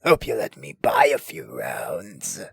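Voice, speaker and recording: A man's voice: deep voice, wildly swooping pitch, takes it slowly, gravelly, fairly bright tone, husky, no room sound, full character voice. A man playing a theatrical, mocking clownish villain in a comic dub, taunting like a showman. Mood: gleeful menace.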